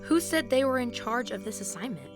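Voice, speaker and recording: A woman playing an authoritative, teasing agency superior in an audio drama, speaking in a composed and deliberate way. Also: fairly high pitch, very animated, over music. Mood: firm, persuasive. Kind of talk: scripted audio drama acting.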